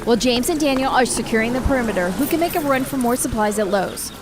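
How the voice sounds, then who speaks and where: A high-voiced woman, played by an actress, leads her neighbors in a radio drama. She is urgent and decisive, with faint ambience behind her. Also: expressive, quick pace, background noise, natural voice. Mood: commanding. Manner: decisive, giving orders. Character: commanding, urgent female survivalist neighborhood leader.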